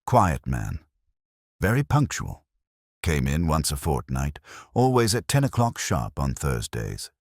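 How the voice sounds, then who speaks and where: Man reading as a wary, deep-voiced older banker. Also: huge pitch swings, no room sound, clean studio sound, full character voice. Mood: wary, polite. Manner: measured, formal, reserved. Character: wary, polite sixty-year-old male bank manager.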